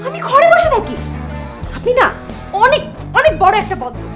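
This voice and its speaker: Outspoken young woman for TV drama: very high, lively voice, easily irritated. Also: a little fast, over music. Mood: annoyed, scolding. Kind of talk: Bangla TV drama acting.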